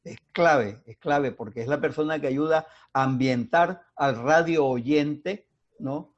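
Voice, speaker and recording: A man's voice: on the high side, slow pace. Older man on dull audio. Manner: emphatic, repeats key phrases. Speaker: veteran radio drama practitioner, panelist. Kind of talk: casual panel discussion.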